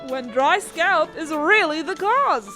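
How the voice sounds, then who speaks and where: A woman's voice: very high-pitched, animated, slow pace, over music. A woman announcer reading scripted old-time radio introductions and advertising in a presentational, salesy manner.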